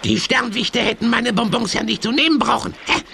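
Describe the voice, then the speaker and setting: A man playing a grumpy, mischievous, whiny villain in a children's audio play, with a squeaky, theatrical delivery. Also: a little fast, gravelly, bright, forceful tone, a little hiss or hum, full character voice. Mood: gleeful, defiant.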